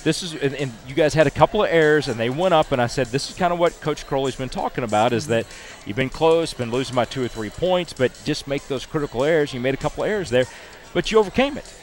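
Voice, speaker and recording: A man's voice: mid-range voice, animated, fast, few pauses, soft background music. Chatty older male sportscaster. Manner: chatty, stat-heavy, upbeat delivery. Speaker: sports broadcaster. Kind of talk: postgame interview. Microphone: headset mic.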